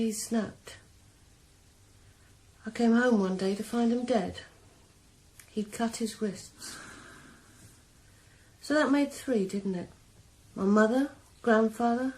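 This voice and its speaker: An actress playing a young woman killer calmly confessing her revenge in a 1980s radio drama. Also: medium pitch, a little hiss or hum, lightly acted, rough recording. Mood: cold calm. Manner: calm, measured, quietly cold. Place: sauna.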